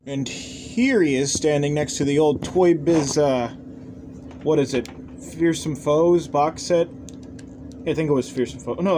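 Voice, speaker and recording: Rambling casual man reviewing, faint noise. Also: medium pitch. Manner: rambling, hesitant, trailing off. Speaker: toy reviewer, channel host. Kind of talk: casual unscripted review commentary.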